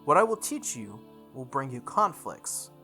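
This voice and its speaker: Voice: mid-range voice, sing-song, a little slow, sharp tone. A game player's take on a grave magus mentor. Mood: solemn, instructive. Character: grave adult male magus mentor, solemn and cautionary. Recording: background music behind the voice.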